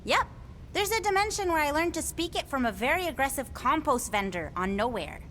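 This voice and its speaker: A woman's voice: high-pitched, big pitch swings, a little fast, background noise. An actress playing a quirky, otherworldly bug-like alien woman in a video game, whimsical and earnest. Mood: cheerful, odd.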